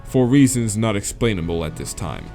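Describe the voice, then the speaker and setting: A man's voice: lowish voice, expressive, warm tone, soft background music. A man voicing an imposing, demonic owl man in a visual novel, pompous and formal in his speech. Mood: formal, condescending. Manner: pompous, formal, measured wording.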